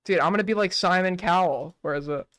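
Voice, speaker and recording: A man's voice: high voice, fast, a bit bright, no echo, studio quality. A young man in his twenties chatting casually on a late-night stream, rambling and swearing, filling his talk with "like". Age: 20s. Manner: rambling, swears a lot, fills with "like".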